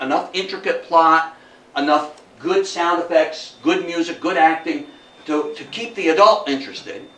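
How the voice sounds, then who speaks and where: An older man gives an informal talk to a group in an echoey room, picked up at some distance from the mic. He tells it like an animated storyteller, repeating phrases for emphasis. His voice sits a little high for a man, with some noise behind it. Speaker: lecturer at a historical society talk. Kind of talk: informal lecture to an audience. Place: indoor talk room with audience.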